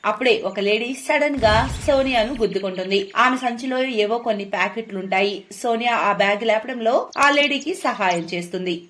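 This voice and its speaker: A woman's voice: a little high, normal speed, fairly bright tone, a little hiss or hum. A woman storyteller reading a scripted moral tale as narration.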